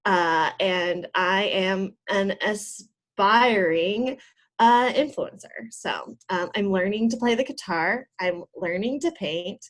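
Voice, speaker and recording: A woman's voice: medium pitch, lightly acted. A woman playing a self-important 38-year-old aspiring influencer, rambling with fillers. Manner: rambling, pretentious, many fillers. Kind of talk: improv comedy sketch.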